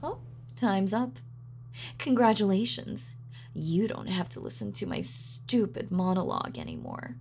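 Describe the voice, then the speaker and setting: A woman's voice: mid-range voice, lively intonation, unhurried, a little mellow, a little hiss or hum. A woman playing a gloating, sadistic supervillain in an audio roleplay, with a mock-sweet, sardonic, taunting manner. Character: gloating female supervillain, sadistic, darkly playful. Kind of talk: villain monologue in audio roleplay.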